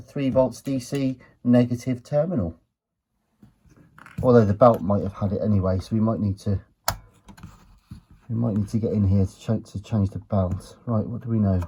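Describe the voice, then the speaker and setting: Husky, mellow-voiced British man. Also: mid-range voice. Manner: chatty, thinking aloud. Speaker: host of a retro electronics teardown video. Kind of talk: casual hands-on commentary while examining a gadget.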